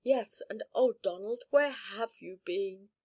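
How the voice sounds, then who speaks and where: A woman's voice: medium pitch, slow pace, a little mellow. A woman voicing an overjoyed young girl in a storybook reading, speaking in a breathless, gushing way.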